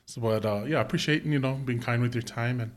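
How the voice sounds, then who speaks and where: A man hosting a podcast, asking rambling, halting questions with lots of fillers in a casual chat. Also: medium pitch. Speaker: podcast host.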